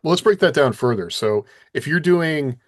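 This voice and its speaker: A man's voice: a little high, expressive, normal speed, no echo, studio quality. A man hosting a podcast in casual discussion, talking conversationally as he explains and asks questions. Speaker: podcast host.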